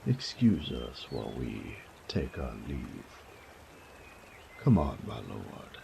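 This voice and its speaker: A male actor voices a sadistic bandit with a gravel-thick, bottom-of-the-range voice. He drawls slowly in coarse slang, teasing and menacing by turns. It is an audio roleplay drama, with noticeable ambient noise behind him. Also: wildly swooping pitch, warm tone, heavily acted. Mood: wry, mock-polite, then amused, scornful. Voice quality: gravelly, creaky low voice.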